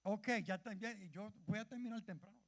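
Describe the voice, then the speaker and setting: Fervent high-voiced man preaching. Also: moderate pace, darkish tone. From Puerto Rico. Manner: fervent, calls out questions to the congregation, stumbles over words. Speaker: church leader preaching. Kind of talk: sermon.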